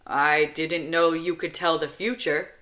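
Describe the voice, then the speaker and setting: A woman's voice: deep voice, fairly even intonation, measured pace, fairly bright tone. A woman voicing a blunt, protective young male soldier and older brother in an audiobook. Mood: exasperated. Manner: stern, blunt, commanding.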